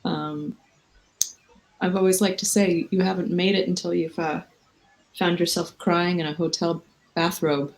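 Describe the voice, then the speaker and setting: A woman's voice: lowish voice, flat delivery, a little slow, pauses often, darkish tone, poor audio. A woman in her thirties hosting a casual late-night video chat with fans from a hotel room, rambling and self-mocking. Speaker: livestream host. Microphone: video call.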